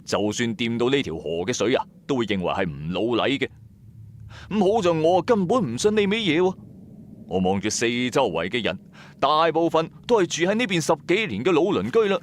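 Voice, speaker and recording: A man's voice: mid-range voice, sing-song, a little fast, a little hiss or hum. A man with a Cantonese accent narrates a first-person ghost story in a colloquial, dramatic, suspenseful way. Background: music.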